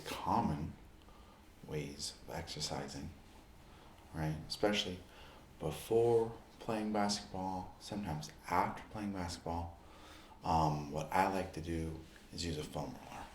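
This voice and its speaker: Slow, low-voiced man doing ASMR roleplay. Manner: deliberate, short halting phrases. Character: Joe, friendly amateur basketball player and recovery expert. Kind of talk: ASMR roleplay, demonstrating objects. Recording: roomy echo, clear background noise.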